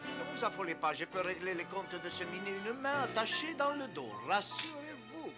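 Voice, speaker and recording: A man voicing a boastful, gallant little Smurf storybook hero in an animated cartoon, with theatrical swagger. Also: high-pitched, normal speed, loud music behind the voice.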